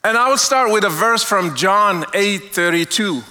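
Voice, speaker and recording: A middle-aged man from Morocco, sharing an earnest testimony sermon as a guest speaker, slowing down to stress key words. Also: sits high, expressive, sharp tone. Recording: long hall reverb.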